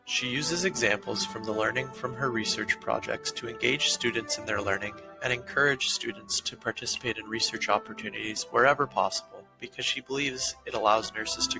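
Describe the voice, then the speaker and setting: A man's voice: medium pitch, vocal fry, low-quality recording. A man reading a formal, scripted award citation, presenting an honour. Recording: music behind the voice.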